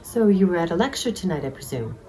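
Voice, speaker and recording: A woman's voice: on the low side, big pitch swings, fast, warm tone. A woman playing an arch, flirtatious, inquisitive single woman in a dramatized novel. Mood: appraising. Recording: chatter of a crowd behind. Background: crowd chatter, pub ambience.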